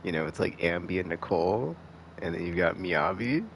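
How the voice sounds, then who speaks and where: A man giving casual live commentary as a game streamer, rambling and halting, often restarting with "like". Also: sits low, lively intonation, a little hiss or hum. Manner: rambling, halting, heavy use of filler "like".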